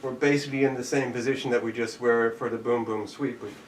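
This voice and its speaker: A man teaching a live online jujitsu class, calling out each step as he demonstrates it. His voice sits at an ordinary middle pitch and bounces around an echoey room. The camera mic is a few steps away, and faint background noise sits under him. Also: fairly even intonation, fast talker, far from the mic. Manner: demonstrating moves while talking, counting out steps. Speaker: jujitsu instructor.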